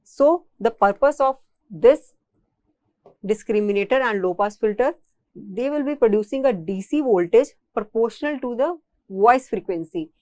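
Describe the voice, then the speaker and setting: A woman's voice: on the high side, expressive, moderate pace, darkish tone, no echo. A woman with an Indian accent giving a technical engineering lecture, explaining step by step and often repeating and rephrasing her phrases.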